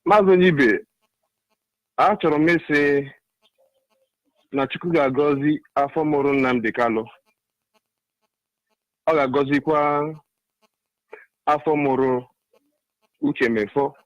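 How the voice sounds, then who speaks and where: A man's voice: a little high, measured pace, pauses often, no echo, muffled recording. A man with a Nigerian Igbo accent, speaking emphatically and repetitively as a caller giving an impassioned political monologue on a radio phone-in. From Ebonyi State, Nigeria.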